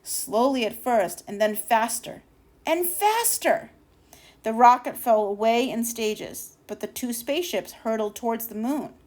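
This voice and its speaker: A woman with a fairly high voice reads a children's picture book aloud. Her delivery is expressive and deliberate, leaning on key words for drama, and she occasionally trips over a word.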